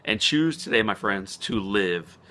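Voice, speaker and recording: A man speaking as a motivational speaker in an inspirational vlog. He talks earnestly and slows down to stress key words. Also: fairly low pitch, lively intonation, sharp tone. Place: outdoors on a spring morning.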